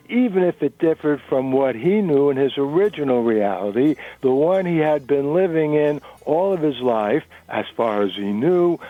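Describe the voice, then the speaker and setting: A man's voice: mid-range voice, no echo, phone-quality sound. A man narrating a dramatic reading of fiction. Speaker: narrator reading a novel chapter.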